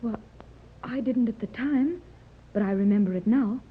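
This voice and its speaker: A woman acting an anxious, hopeful, gentle mother in a 1930s radio serial, with an earnest, emotional delivery. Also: fairly high pitch, normal speed, breathy edge. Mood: puzzled, hopeful. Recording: muffled, noisy 1930s radio recording.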